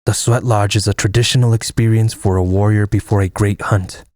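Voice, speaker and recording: A man playing a stoic, fatalistic Navajo army air force lieutenant in an audio comic, solemn and measured. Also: lowish voice, fairly even intonation, a little mellow, no room sound, natural voice. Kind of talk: dramatic first-person narration and dialogue in an audio comic.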